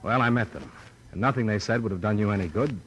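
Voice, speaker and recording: An actor playing a cool, probing insurance investigator in an old-time radio noir, speaking and narrating in a clipped, dry, matter-of-fact way. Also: male voice, fairly low pitch, fast, a bit bright, faint music behind, lightly acted. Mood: dry, skeptical. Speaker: detective and narrator. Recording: noisy old radio drama recording.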